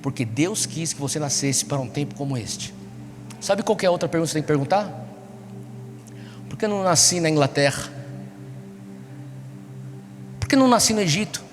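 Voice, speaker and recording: Brazilian male preacher, high-pitched, in echoey hall. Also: lively intonation, fairly quick, pauses often. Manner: exhortative, rhetorical questions and repetition. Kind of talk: sermon to a young congregation. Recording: echoey large hall, music behind. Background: music.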